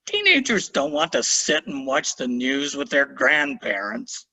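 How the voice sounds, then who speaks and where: A man's voice: a little high, big pitch swings, a little slow, creaky, pushed and bright, exaggerated voice. An actor in a staged reading playing a wry, laid-back grandfather over sixty. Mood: easygoing. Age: older. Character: grumbling grandfather, dry and easygoing. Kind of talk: virtual staged play reading.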